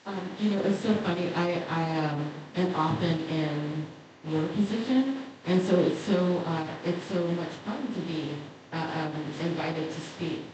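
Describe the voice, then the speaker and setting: Halting woman moderator in an echoey hall. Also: on the low side, highs cut off. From Southern United States. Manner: hesitant, many ums, searching for words. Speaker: panel moderator. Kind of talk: moderating a panel discussion. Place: large lecture hall. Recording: echoey hall, speaker far from mic, clear background noise.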